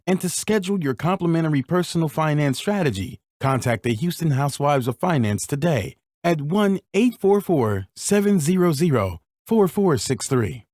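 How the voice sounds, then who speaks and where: A man reading sponsor ads for a podcast in a smooth promotional delivery. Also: on the high side, very animated, normal speed, few pauses, a little mellow, no echo, studio quality. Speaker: announcer. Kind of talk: scripted ad read.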